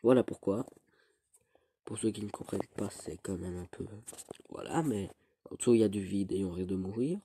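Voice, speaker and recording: A teenage boy with a cold, rambling through casual gameplay commentary with halting restarts. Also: low-pitched, noisy background. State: stuffy with a cold. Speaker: gaming youtuber.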